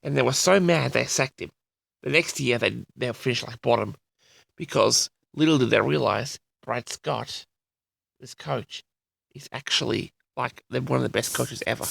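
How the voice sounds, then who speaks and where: A man with a tired, raspy voice, chatting casually and loosely to his audience with many restarts. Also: medium pitch, moderate pace, a bit bright, no room sound. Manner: rambling, repeats and restarts sentences. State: tired, raspy from a late night. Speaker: audio log host. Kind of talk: casual rambling chat to audience.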